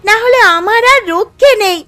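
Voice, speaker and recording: A woman's voice: very high-pitched, lively intonation, normal speed, sharp tone, full character voice. A woman voicing a frightened young girl with a childlike, fearful manner in a cartoon. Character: frightened young orphaned girl, niece of villain. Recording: music under the voice.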